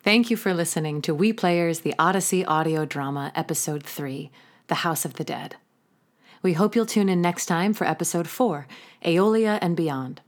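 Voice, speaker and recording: A woman's voice: on the low side, moderate pace. A woman narrating scripted closing credits for an audio drama as its presenter.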